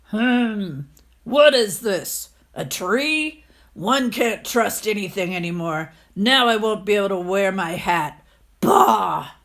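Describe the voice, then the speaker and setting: A woman's voice: mid-range voice, lively intonation, vocal fry, a bit bright, exaggerated voice. A woman voicing a mean, miserly old landlord in a children's storybook, grumbling and scornful. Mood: puzzled, annoyed. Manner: grumbling, scornful, drawn-out exclamations. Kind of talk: children's storybook read-aloud.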